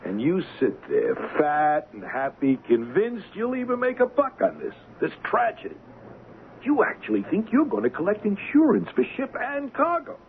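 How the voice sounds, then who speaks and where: An actor playing a bitter, mocking, hard-drinking ship's engineer turned blackmailer in an old-time radio drama. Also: male voice, sits high, wildly swooping pitch, normal speed, gravelly, exaggerated voice. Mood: bitter, accusing. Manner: mocking, theatrical, taunting. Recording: lo-fi, noisy radio drama recording.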